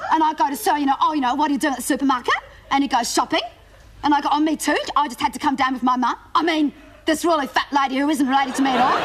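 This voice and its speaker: A woman's voice: high-pitched, close to an everyday voice. A woman with an Australian accent playing a gossipy, easily embarrassed teenage schoolgirl, rambling breathlessly through a comedy monologue. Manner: breathless rambling storytelling, mocking asides. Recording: studio audience laughter and noise.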